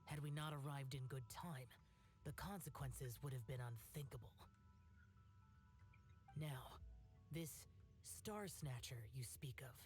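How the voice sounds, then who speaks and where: A man's voice: medium pitch, unhurried, long pauses, soft background music, natural voice. A man voicing an ancient but youthful demon slayer in a game, stern and aloof, with formal, solemn diction. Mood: solemn, commanding. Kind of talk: animated game voice acting.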